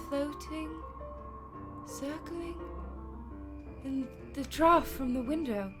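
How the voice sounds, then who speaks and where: High, slow-spoken frail young lady. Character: frail, frightened young woman under a vampire's spell. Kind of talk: radio horror drama acting. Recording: loud music behind the voice.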